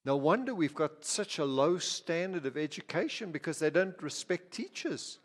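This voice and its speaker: A man's voice: a little high, expressive, normal speed. A man from Zimbabwe preaching a sermon as pastor, talking conversationally with frequent restarts, asides and repeated words. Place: church. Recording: reverberant hall.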